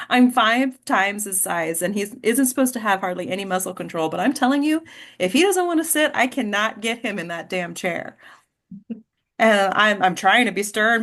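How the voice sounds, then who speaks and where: A woman playing an exhausted but devoted mother, halting and conversational, in an informal script read-through. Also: medium pitch, creaky, a bit bright. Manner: halting, conversational, searching for words.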